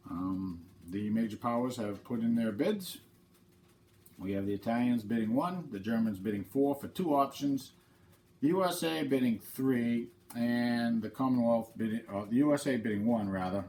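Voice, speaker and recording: A man's voice: medium pitch, darkish tone, a little hiss or hum. A man with a head cold hosting his channel, talking casually through a board game playthrough and explaining the rules step by step. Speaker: channel host.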